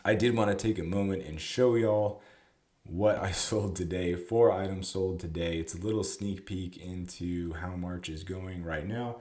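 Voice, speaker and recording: A slightly hoarse man, who is sick and losing his voice, recapping his golf club sales in a chatty way. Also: sits low, measured pace, darkish tone. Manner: chatty, explanatory, with frequent fillers. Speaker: golf club reseller and vlogger. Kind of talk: casual to-camera sales recap. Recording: roomy echo.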